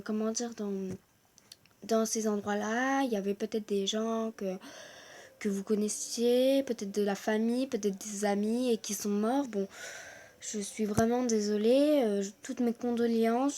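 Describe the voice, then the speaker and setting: A teenage girl from France speaks straight to her viewers in a home video. Her voice sits a little high and she talks earnestly, stumbling through fillers and restarts as she searches for words. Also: steady tone, a little slow, mellow. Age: teens. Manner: hesitant, many fillers and restarts; earnest, growing indignant. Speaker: vlogger. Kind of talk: personal video message.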